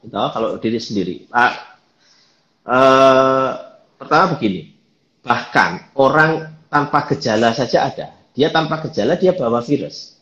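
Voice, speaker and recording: Man, guest speaker, calm explanations, echoey room, dull audio. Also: mid-range voice, measured pace. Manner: calm, explanatory, many fillers. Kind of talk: explaining in a live online chat.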